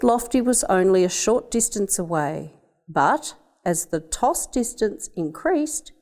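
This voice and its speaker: Australian woman reading children's storytime, slightly low voice. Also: darkish tone. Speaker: education officer reading a picture book. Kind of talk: children's storytime read-aloud.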